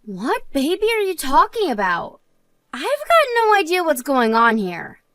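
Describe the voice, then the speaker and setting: Woman acting an earnest, high-voiced young wife. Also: huge pitch swings, a little slow, fairly bright tone, dry recording, studio quality, full character voice. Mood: bewildered, defensive. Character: Melissa, earnest married woman, bewildered but loyal friend. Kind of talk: scripted dramatized story dialogue.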